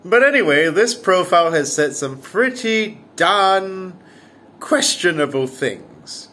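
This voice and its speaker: A man, his voice pitched slightly above the usual for a man. He chats casually to camera as a commentary vlogger. He slips in wry, self-deprecating jokes as he goes. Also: lively intonation, takes it slowly, sharp tone. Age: 30s. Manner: wry, self-mocking, jokey asides.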